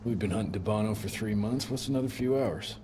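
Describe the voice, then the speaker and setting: An actor playing a tough Wild West monster slayer in a video game, curt and taunting, with an American accent. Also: male voice, fairly low pitch, fast talker, over music, close to an everyday voice. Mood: defiant. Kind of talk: video game cutscene acting.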